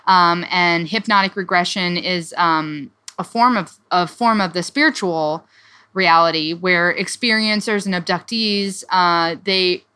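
Young woman co-hosting a chat podcast, bright tone, lots of ums and likes. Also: lowish voice, clean tone. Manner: chatty, many fillers and restarts. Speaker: podcast co-host. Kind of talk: casual chat summarizing a book.

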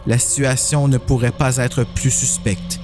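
A man's voice: mid-range voice, level tone, unhurried, music in the background. A man reading scripted narration for a horror story dramatization.

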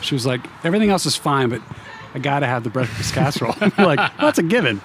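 A man's voice: medium pitch, very animated, fast, a bit bright, slight background noise. A man chatting as a podcast guest, talkative and laughing often. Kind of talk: casual chat.

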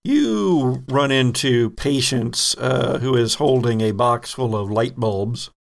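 A man narrating as game master of a tabletop role-playing game, with relaxed, rambling descriptions. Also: medium pitch, takes it slowly, creaky.

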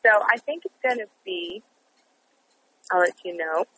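A woman in her late twenties with an Israeli accent, a guest who talks in a halting, giggly way while searching for words. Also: lowish voice, animated, fairly bright tone, slight background noise, rough recording. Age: 20s. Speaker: guest performer. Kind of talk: casual interview chat.

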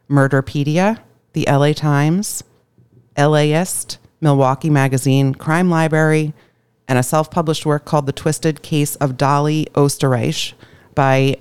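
A woman's voice: low-pitched, darkish tone, smooth, no room sound. A woman co-hosting a podcast, laying out a case in a matter-of-fact way. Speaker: podcast co-host. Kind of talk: reading out a case in casual chat.

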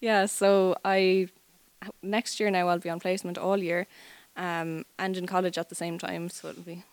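A woman's voice: lowish voice, little pitch movement, normal speed, clear, resonant tone, no echo. A young Irish woman in her twenties, a student teacher, chatting easily with fillers as a guest on a live radio interview. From Ireland. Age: 20s. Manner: chatty and easygoing, many fillers. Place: school, live radio broadcast.

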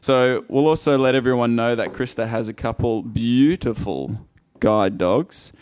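A man's voice: medium pitch, a little slow, dry recording. Male host, friendly interviewer, dry close mic. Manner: warm, encouraging, laughs often. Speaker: podcast host. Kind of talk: casual interview chat.

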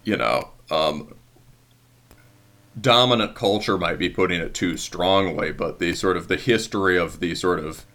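A man's voice: low-pitched, unhurried, pushed and bright. A man interviewing a guest in casual conversation, rambling with many hedges and fillers and laughing easily.

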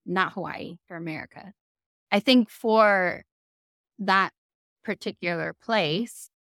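A woman's voice: medium pitch, slow, a bit bright, no echo, studio quality. A woman moderating a panel discussion in a conversational way, posing questions to guests.

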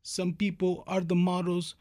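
A man's voice: sits high, monotone, measured pace, darkish tone. A man playing an eccentric surrealist painter in a comic radio play, making grandiose, cryptic pronouncements.

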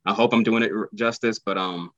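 A very low-voiced man talks as a guest actor, easing through answers with constant "you know" fillers. The sound is dry and close, but the audio is dull and lo-fi, like a muffled call. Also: fairly even intonation, brisk, fairly bright tone, no echo. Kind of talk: casual interview answers.

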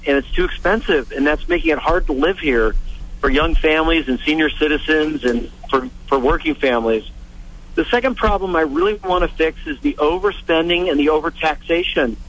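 A man, calling into a radio show as a candidate, making an earnest campaign pitch with halting restarts. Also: fairly bright tone, low-quality recording.

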